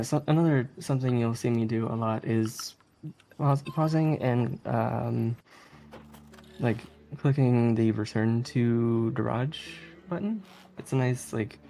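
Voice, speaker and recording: A speedrunner with a dark, mellow voice chats casually through live game commentary. The delivery is easygoing and giggly, over faint noise and clicking. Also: mid-range voice. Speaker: speedrunner playing a video game.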